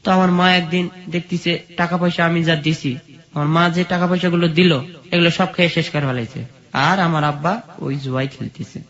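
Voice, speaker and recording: A man's voice: fairly high pitch, fairly even intonation, a little fast, a bit bright. A man with a Bangladeshi accent telling his own life story as an interview guest. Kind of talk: personal life-story narration. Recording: dull lo-fi sound.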